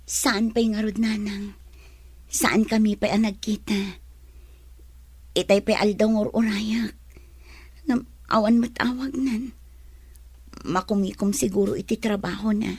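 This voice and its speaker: An actress playing an earnest, soft-spoken young pregnant woman in a radio drama. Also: mid-range voice, steady tone, measured pace, long pauses, slightly rough, dry recording. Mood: anxious, earnest.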